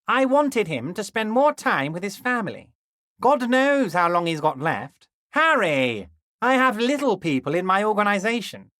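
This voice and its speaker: Game casting: heavyset union boss, smug and falsely chummy, insinuating, highly animated intonation, dry studio sound. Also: male voice, moderate pace, dry recording, exaggerated voice. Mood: mock concerned. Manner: ingratiating, oily, insinuating, repeatedly addressing listener as a pal. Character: smug, falsely friendly, overweight corrupt union boss, adult man. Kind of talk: video game character dialogue.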